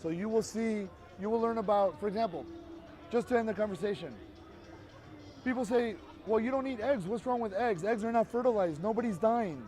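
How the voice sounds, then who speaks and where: Street activist, male, high voice, emphatic and lecturing, outdoors with faint music behind. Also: fairly quick, darkish tone. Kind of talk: persuasive street conversation.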